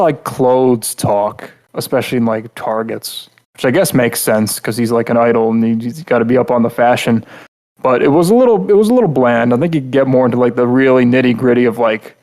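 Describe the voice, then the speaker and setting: A man's voice: medium pitch, a little mellow. The guy on a hobby podcast who talks in loose, sweary loops while giving his review. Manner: rambling, frequent swearing. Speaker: podcast co-host reviewing game characters. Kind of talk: casual chat.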